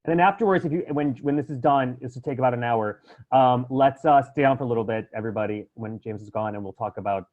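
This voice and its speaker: A man's voice: mid-range voice, fast. A man casually hosting a webinar in an informal style, heard through dull, lo-fi video call audio. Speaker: webinar host.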